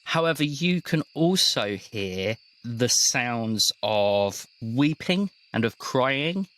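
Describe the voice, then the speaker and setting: A man's voice: medium pitch, sing-song, slow pace, fairly bright tone. A man running a tabletop role-playing game as game master, narrating scenes as he improvises them. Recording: clear background noise.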